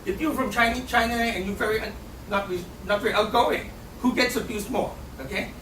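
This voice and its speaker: Guy campaigning in a debate, high voice, keeps saying okay?, echoey room, mic far off, noisy. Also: fairly quick. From Hong Kong. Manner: punctuates nearly every phrase with a questioning "okay?". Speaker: student election candidate.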